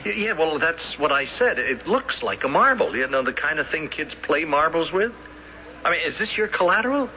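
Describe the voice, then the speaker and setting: An actor playing an affable, practical, skeptical assistant bank manager in a radio drama, halting and politely bemused. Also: male voice, fairly high pitch, sing-song, pushed and bright. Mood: skeptical, amused. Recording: muffled old radio recording, faint noise.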